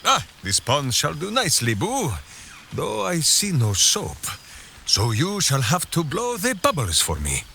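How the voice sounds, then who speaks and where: An actor playing a hearty, simple-minded big warrior in a video game, boisterous and theatrical, speaking of himself in the third person. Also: male voice, fairly high pitch, wildly swooping pitch, measured pace, vocal fry, bright, forceful tone, slight background noise, heavily acted. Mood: jovial. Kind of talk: video game character acting.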